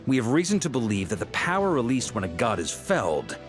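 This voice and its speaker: An actor playing a serious knight leader who explains in a measured, authoritative way. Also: male voice, mid-range voice, wildly swooping pitch, soft background music.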